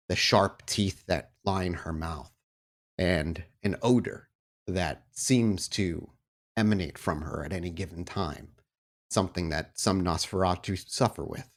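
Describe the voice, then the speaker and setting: A man's voice: deep voice, fairly even intonation, measured pace. A man speaking as a podcast host and game storyteller, chatty and informal. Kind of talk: podcast announcements and game narration.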